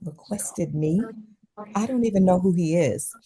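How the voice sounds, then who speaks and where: A woman playing a concerned, guarded psychiatrist with a measured professional manner at a drama table read. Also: fairly low pitch, warm tone, slightly rough. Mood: wary. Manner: measured, professional, hesitant at times.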